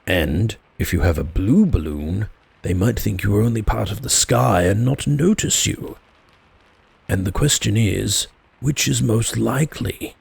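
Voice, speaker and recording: A man voicing an earnest, dim-witted toy bear in a slow, ponderous storybook manner. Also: lowish voice, creaky, darkish tone, breathy edge, no room sound, full character voice. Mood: earnest, pondering.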